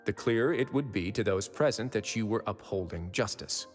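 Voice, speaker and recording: A man playing a wry, self-assured prison warden with a lowish voice that speaks calmly and dryly. A long reverb trails his words. Light game music sits behind him. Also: normal speed, close to an everyday voice. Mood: explaining. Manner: calm, dry, confident. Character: calm, wry male prison administrator. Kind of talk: video game voice acting.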